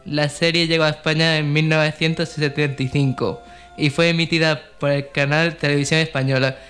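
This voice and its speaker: A man's voice: fairly high pitch, a bit bright. A man from co-hosting a radio show, in casual chat and read-out narration. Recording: faint music under the voice. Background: faint music.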